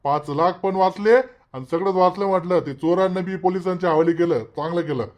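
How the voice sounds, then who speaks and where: A man acts out a village fellow in a cartoon comedy. His voice is quite high for a man, and he speaks as an approving onlooker. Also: fairly quick, close to an everyday voice. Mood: relieved, approving. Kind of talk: Marathi comedy cartoon dialogue.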